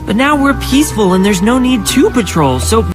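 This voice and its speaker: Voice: mid-range voice, animated, moderate pace, close to an everyday voice. An actor voicing an earnest, peace-loving male insect creature leader in a cartoon, with music underneath. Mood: exasperated. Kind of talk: animated cartoon acting.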